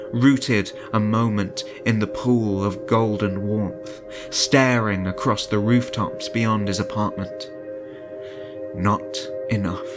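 Man narrating a horror story over music. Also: fairly high pitch. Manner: suspenseful, deliberate, theatrical delivery. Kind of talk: dramatic horror audiobook narration.

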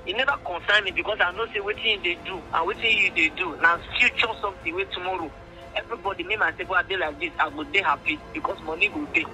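A man's voice: sits high, steady tone, fast talker, bright, forceful tone. A man with a Nigerian accent talking earnestly in a mix of English and Pidgin, pleading and emphatic, often repeating his points. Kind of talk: earnest phone conversation in mixed English and Pidgin. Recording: phone call with music behind.

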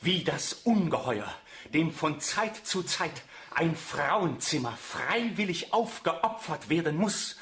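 High-voiced zealous theorist. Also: male voice, very animated, slow pace, a bit bright, exaggerated voice. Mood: fervent theorizing. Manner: declaiming, idealistic. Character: earnest, zealous officer with grand theories. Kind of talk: radio drama acting. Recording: people laughing, crowd behind.

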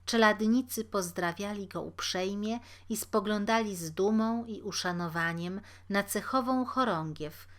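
A woman narrating a literary fairy tale aloud in an expressive, deliberate storytelling manner. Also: mid-range voice.

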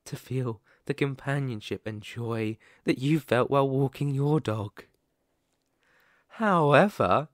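A man voicing a sadistic, possessive werewolf captor in an ASMR roleplay, speaking in a deliberate, condescending, threatening way. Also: mid-range voice, no room sound, clean studio sound. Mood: controlling, cold.

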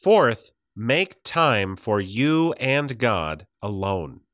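A man reading a scripted devotional audiobook in a measured, deliberate way. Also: mid-range voice, sing-song, a bit bright, no room sound, studio quality. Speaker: audiobook narrator.

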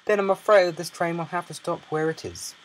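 A man's voice: medium pitch, animated, a little fast, husky, rough recording. A man playing stern, practical railway workers in a children's storybook, brusque and matter-of-fact. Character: stern practical railwaymen, guard and engine driver. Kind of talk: children's audio storybook character acting.

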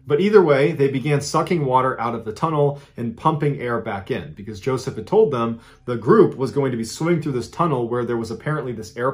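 Man telling a dark true story. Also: mid-range voice. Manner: animated, conversational retelling with dramatic emphasis. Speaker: storyteller host. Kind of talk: true-crime storytelling to camera. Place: indoor studio with a green-screen backdrop.

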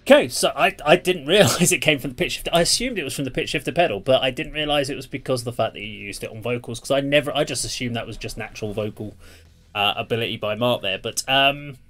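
A man's voice: medium pitch, quick pace, a bit bright. A middle-aged man asking questions casually as an interview host on a video call from a room.

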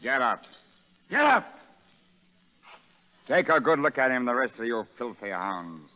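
An actor playing a sadistic, vengeful prison sergeant who taunts and barks orders in a 1930s radio drama. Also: male voice, medium pitch, big pitch swings, unhurried. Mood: furious, bullying. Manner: menacing, taunting, barking orders. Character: sadistic, vengeful male prison sergeant bullying new convicts. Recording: narrow muffled 1930s radio broadcast, reverberant.

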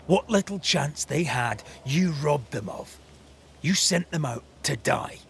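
A man playing a resolute, grave ghost hunter in a dramatic video game, measured and stern, with a gravelly voice. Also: fairly high pitch, lively intonation, a bit bright, slight background noise, exaggerated voice. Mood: angry, accusing.

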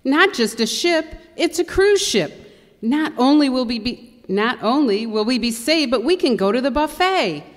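A woman's voice: sits high, lively intonation, moderate pace. A woman playing an easily exasperated, theatrical stranded tourist in a radio skit. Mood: eager. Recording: echoey hall.

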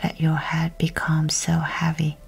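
Low, breathy woman guiding a meditation. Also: monotone, unhurried. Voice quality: breathy, soft, whispery. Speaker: guided meditation host. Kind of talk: guided meditation narration.

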